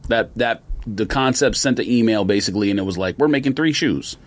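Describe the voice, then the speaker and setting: A man's voice: on the low side, fairly quick. A man debating casually on a podcast, persistently reasoning his way through an argument.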